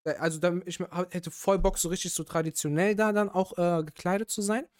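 A man co-hosting a casual podcast chat, relaxed and hesitant with many fillers. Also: high voice, a little fast, clean tone. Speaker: podcast co-host.

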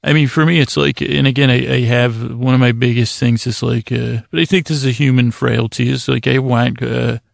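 A man with a heavily creaky, gravelly voice at an average male pitch rambles through a bedtime podcast monologue. He halts, restarts and wanders into tangents as host. The sound is dry and close, with very little room to it. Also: fairly even intonation, no echo. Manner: meandering, halting, tangent-prone delivery with self-interruptions.

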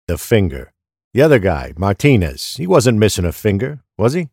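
Guy as tired grumpy old detective, deep raspy voice, crime audio drama. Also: sing-song, normal speed, darkish tone, dry recording, clean studio sound, exaggerated voice. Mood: puzzled. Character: gruff, weary grey-haired veteran police detective.

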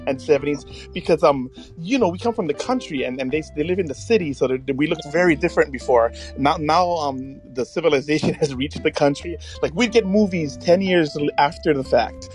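A middle-aged man from Hawaii, chatting casually as a podcast host in hesitant, halting phrases. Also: a little high, faint music behind, poor audio. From Hawaii, United States.

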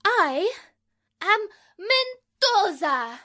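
A woman's voice: extremely high pitch, sing-song, extremely slow, sharp tone, heavily acted. A woman playing a haughty, fiery ballerina with a Spanish accent and broken English, in 1940s radio drama style. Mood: haughty, indignant. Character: haughty, fiery foreign prima ballerina, easily offended.

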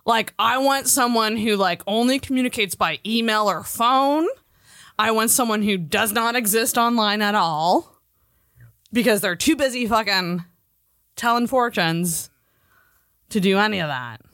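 A woman's voice: medium pitch, expressive, unhurried, a bit bright, dry recording, studio quality. A woman co-hosting a podcast, chatting casually and emphatically, with informal wording and some swearing. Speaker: podcast co-host. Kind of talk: casual, opinionated chat.

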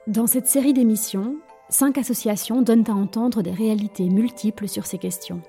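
Gravelly woman announcing over music. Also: on the high side, steady tone, moderate pace, dark tone. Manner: read-out, announcing. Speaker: show announcer. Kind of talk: scripted podcast intro.

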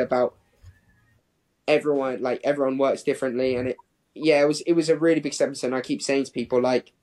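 A man in his twenties who grew up in Hong Kong and England, talking casually as an interview guest in a rambling way peppered with "like". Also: medium pitch. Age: 20s. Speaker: professional distance runner, interview guest.

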